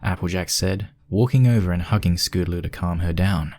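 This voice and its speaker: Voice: sits low, fairly quick, darkish tone. A narrator reading a scripted fan fiction story aloud.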